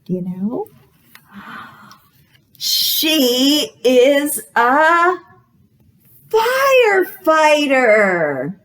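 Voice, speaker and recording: High, very slow, animated woman reading to children. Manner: exaggerated, encouraging, asks questions with pauses for guesses. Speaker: children's librarian, storytime host. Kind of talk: read-aloud storytime for young children.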